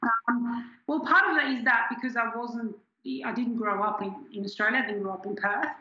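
A woman's voice: a little high, normal speed, bright, forceful tone, roomy sound, dull audio. A woman in her forties from Switzerland, reflectively telling her story as an interview guest with many restarts. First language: German. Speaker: scientist guest. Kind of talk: candid personal interview.

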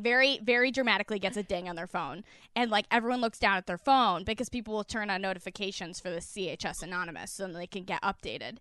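A young American woman telling a comic story casually, in an animated, giggly way that dramatizes people's reactions. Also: mid-range voice, clean tone, no room sound. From United States, small rural town.

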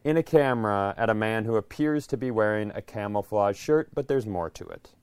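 A man's voice: on the low side, expressive, brisk, clean tone, dry recording. An American man bantering casually and comedically as a podcast co-host.

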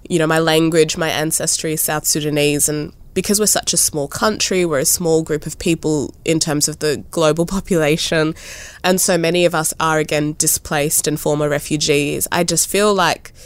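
A young woman in her twenties speaking in a relaxed, thoughtful way in an interview, often pausing with "like" and "you know". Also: low voice, clear, resonant tone. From born in Ethiopia, raised in Kenya and Australia. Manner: thoughtful, frequent "like" and "you know", lively rises. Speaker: interviewee, artist. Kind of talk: casual conversational interview.

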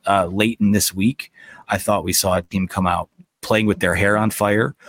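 A man's voice: lowish voice, dry recording. A man hosting a live post-game sports talk show from a stadium press box, losing his voice to a cold, talking casually with lots of fillers. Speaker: podcast host.

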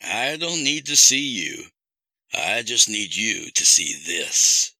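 A man plays a jilted husband turned villain in a horror tale. The voice is raised and swooping, with a taunting, coldly amused calm that promises cruelty. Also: fairly low pitch, slow, no room sound, pitched above the performer's own voice, heavily acted. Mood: mocking, amused. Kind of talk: audio horror story character acting.

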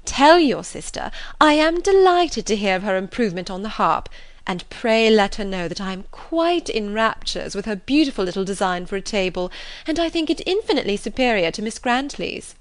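A woman voicing a gushing, flattering society lady. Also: medium pitch, normal speed, dry recording, lightly acted. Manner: effusive, flattering, rising exclamations.

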